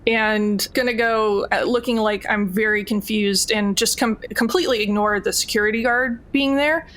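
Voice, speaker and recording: A woman's voice: mid-range voice, fairly even intonation, normal speed, a bit bright. A woman playing a tabletop game, talking casually and thinking aloud in a conversational way.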